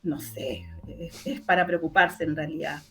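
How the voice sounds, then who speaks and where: A woman's voice: low voice, measured pace. Chilean woman in conversation, music behind. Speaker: interview guest, sound artist. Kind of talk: casual conversational interview. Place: online interview call.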